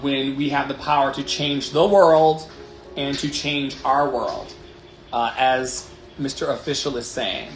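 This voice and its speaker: A man's voice: medium pitch, slight background noise. A man in his late thirties hosting a motivational livestream, talking candidly and emphatically with swearing and repeated affirming phrases. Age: 30s. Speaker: life coach and livestream host. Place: living room. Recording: roomy echo, music behind.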